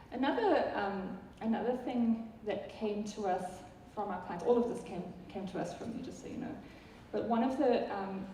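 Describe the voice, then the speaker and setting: A woman's voice: medium pitch, warm tone, a little hiss or hum. A woman from South Africa with a South African accent, giving a chatty live product talk to an audience, with many ums and restarts. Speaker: software product presenter. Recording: echoey hall from far off.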